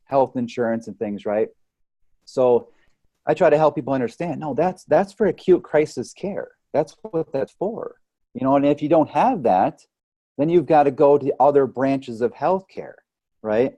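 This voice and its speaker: A man's voice: medium pitch, dark tone, dry recording. A man speaking conversationally as an interview guest, explaining things through analogies and often checking in with "right?". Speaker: chiropractic or holistic health practitioner, podcast guest.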